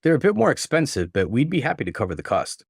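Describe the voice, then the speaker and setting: A man's voice: mid-range voice, huge pitch swings, quick pace, creaky, husky, no room sound, studio quality, full character voice. Man playing a snobbish older mother-in-law, sugary, condescending, swooping intonation, storytelling. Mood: sweetly disapproving. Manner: sickly sweet, condescending, fussy.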